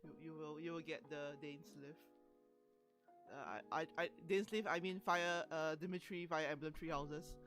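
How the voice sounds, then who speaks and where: A man's voice: a little high, steady tone, moderate pace, fairly bright tone. A man co-hosting a livestream, chatting casually and playfully with stammering restarts. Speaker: stream co-host. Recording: voice over faint music.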